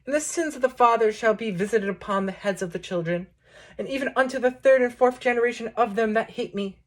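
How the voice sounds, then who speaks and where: A woman reading poetry aloud, delivering it as a measured, declamatory recital in short phrases. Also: on the high side. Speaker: poetry reader introducing a book.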